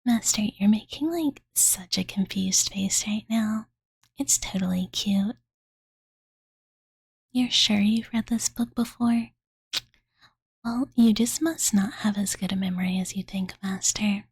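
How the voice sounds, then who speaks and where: A woman voicing an affectionate young dragon girl in a soft, breathy ASMR whisper, close to the mic, with a casual, cutesy way of talking. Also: medium pitch, unhurried, pauses often, no echo. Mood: smug, teasing. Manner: cozy, cutesy, casual, filler-laden, doting. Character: affectionate, possessive young dragon girl telling bedtime story. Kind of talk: ASMR roleplay. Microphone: close studio condenser mic.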